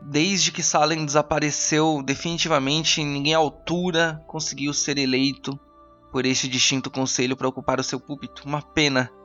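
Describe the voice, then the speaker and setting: A man's voice: a little high, little pitch movement, moderate pace, a bit bright, highs cut off, soft background music, lightly acted. A man voicing gossiping, disapproving arcanists in an incredulous, emphatic manner for a fantasy audio drama. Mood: regretful. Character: gossiping council arcanists, shocked and disapproving men.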